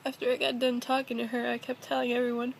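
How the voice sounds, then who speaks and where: High, creaky young woman speaking flatly. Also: fast talker, a little hiss or hum, low-quality recording. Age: young adult. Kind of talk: personal confessional vlog, casual talk.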